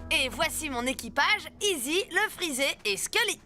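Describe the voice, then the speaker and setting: A woman playing a confident, eager young boy who leads a pirate crew in an animated cartoon. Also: high voice, moderate pace. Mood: rallying. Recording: music behind the voice.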